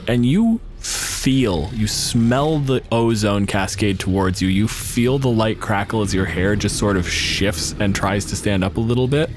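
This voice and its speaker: A man's voice: fairly low pitch, measured pace, hardly any pauses, a little hiss or hum. A man improvising narration as game master, casual and wry, swearing freely.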